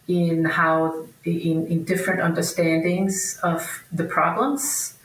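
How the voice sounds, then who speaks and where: A woman in her fifties from Denmark, a native Danish speaker, answers interview questions conversationally, thinking aloud in a halting, hesitant way. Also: low-pitched, creaky, fairly bright tone. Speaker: podcast guest, researcher and academic. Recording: roomy echo, distant from microphone.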